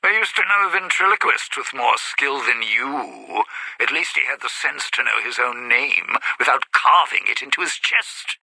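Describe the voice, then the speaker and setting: A cynical ghoul crooner from a video game, smug and always scheming. His voice is very sharp and badly rasped, and his wry phrasing swings up and down like an old showman's. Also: mid-range voice, expressive, normal speed, dry recording, heavily acted, poor audio. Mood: scornful, irritated. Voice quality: raspy, gravelly. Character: cynical scheming ghoul lounge singer, smug con man. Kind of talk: video game character voice lines.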